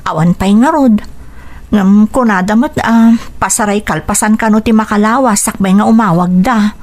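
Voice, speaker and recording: A woman's voice: mid-range voice, normal speed, darkish tone, close to an everyday voice. A young woman with a Filipino accent acting a dutiful, downcast daughter in an Ilocano radio drama, respectful and subdued. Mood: disappointed. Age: young adult.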